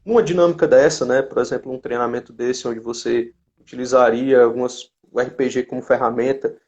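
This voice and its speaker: A man's voice: mid-range voice, fairly even intonation, brisk, a little mellow. A man with a Brazilian accent hosting a casual live chat, commenting and reading out questions. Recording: dull lo-fi livestream audio.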